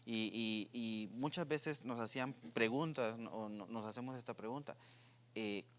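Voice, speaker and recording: Brief: man from Guatemala, mid pitch, hesitant conversational podcast host on faith topics. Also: a little slow, a bit bright. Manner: hesitant, many fillers and restarts. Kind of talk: casual faith discussion.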